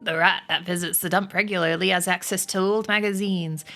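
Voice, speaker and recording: An elderly storybook sheep, acted out by a woman. The elder is shrewd and persuasive, speaking with measured, unhurried authority to the barnyard. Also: fairly low pitch, fairly even intonation, sharp tone, faint music behind. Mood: matter-of-fact, scheming.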